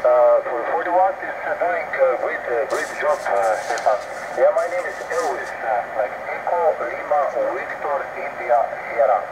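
A man with a Slovenian accent making a casual amateur radio contact in halting phrases, heard through a radio receiver. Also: medium pitch. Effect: heard through shortwave radio receiver. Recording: very noisy, band-limited shortwave radio reception.

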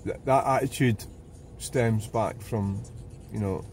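A man's voice: lowish voice, slow pace. A man chatting casually and sweary as he drives, rambling in a conversational mutter. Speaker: vlogger driving. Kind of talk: casual rambling chat while driving. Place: inside a moving car on a wet road. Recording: loud car and road noise.

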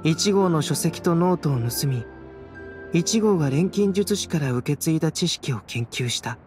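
Lightish-voiced calm young alchemist. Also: male voice, on the high side. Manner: composed, measured, soft-spoken, enigmatic. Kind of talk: Japanese anime game voice acting, storytelling. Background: background music.

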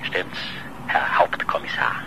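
An actor in a radio crime drama playing a pushy, sardonic newspaper reporter who needles insistently. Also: male voice, takes it slowly, background noise, natural voice. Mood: theorizing, insistent.

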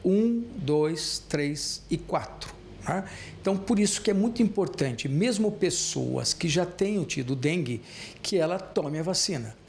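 A man's voice: on the high side, animated, a little slow, gravelly, a little mellow, slight background noise. A man in his fifties with a Brazilian accent, explaining as a clinic director in an interview. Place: small clinic room. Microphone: handheld interview microphone.

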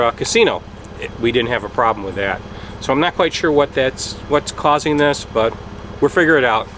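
Male RV vlogger, 40s, mid-pitched, matter-of-fact, outdoor to-camera take with faint engine noise. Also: fairly bright tone. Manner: plain and matter-of-fact, with frequent ums. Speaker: RV travel vlogger. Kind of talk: casual on-camera explanation. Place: outdoors at a wooded campground beside a pickup truck. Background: idling truck engine.

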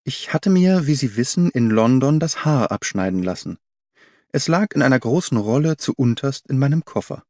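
Audiobook narrator: man as observant young governess telling her mystery, precise delivery, mid-range pitch, dry recording. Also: expressive, normal speed, warm tone, no room sound, natural voice. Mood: intrigued, uneasy. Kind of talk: first-person audiobook narration.

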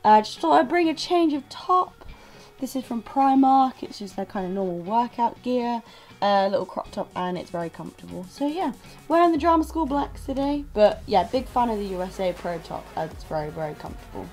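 A young woman in her twenties, a drama student vlogging casually with a slightly croaky voice, filmed at arm's length in a small student flat. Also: on the high side, fairly quick, soft background music. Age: 20s. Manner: chatty and upbeat, laughs often. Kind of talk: casual vlog chat. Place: small student flat bedroom and kitchen, indoors. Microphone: camera mic at arm's length.

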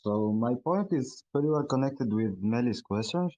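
A man's voice: mid-range voice, animated, normal speed, mellow, highs cut off, a little hiss or hum. A man from Tunisia whose first language is Arabic, asking a rambling, friendly question in a casual voice chat. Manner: friendly and rambling, with many fillers. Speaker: audience member asking a question.

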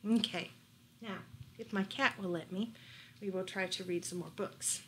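A woman reading a children's picture book aloud at home in an animated storytelling style. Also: medium pitch, moderate pace, slight background noise, rough recording. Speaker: librarian reading bedtime stories. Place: furnished room at home, indoors.

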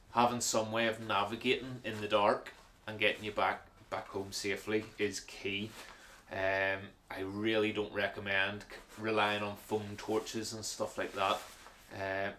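A man's voice: fairly low pitch, a little hiss or hum. Man showing his hiking gear. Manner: relaxed, practical, frequent fillers and repeated words. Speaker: hiking vlogger. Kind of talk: casual gear walkthrough.

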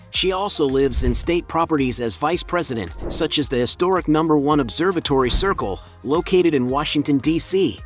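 Male voice-over narrator, even scripted delivery, faint music bed. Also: on the high side. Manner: even, steady read with no fillers.

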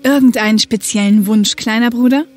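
A woman's voice: a little high, fairly even intonation, brisk, darkish tone, faint music behind, close to an everyday voice. A woman playing a young, matter-of-fact helicopter co-pilot and caring older sister in a German audio drama. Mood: concerned, teasing.

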